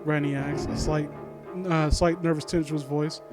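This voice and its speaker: A man's voice: fairly high pitch, level tone, moderate pace, over music. A man reading and commenting in a casual, sardonic, informal way, often stumbling over his words. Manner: sardonic, mock-supportive asides, informal, stumbling over read lines. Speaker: host of a critique series reading a story aloud and commenting. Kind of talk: casual reaction commentary mixed with story reading.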